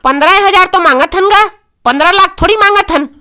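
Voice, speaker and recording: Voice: extremely high pitch, fairly quick, sharp tone, a little hiss or hum. A performer with a Chhattisgarhi accent voicing a sassy, insistent young bridesmaid who haggles in a comic cartoon. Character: sassy young bridesmaid haggling stubbornly over shoe money.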